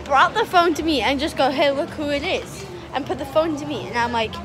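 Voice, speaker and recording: A teenage girl vlogging on a phone held at arm's length in a busy restaurant, gushing and giggly as she talks fast and excitedly. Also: sits high. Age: teens. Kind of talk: excited casual vlog chat. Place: indoor restaurant at a competition venue.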